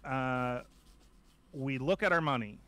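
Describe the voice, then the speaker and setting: A man's voice: medium pitch, fairly bright tone, clean tone. A man in his forties from Canada, co-hosting a casual podcast chat from a home art studio, joking and bantering. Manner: joking, playful, quick banter. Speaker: podcast co-host. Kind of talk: casual chat on a live stream.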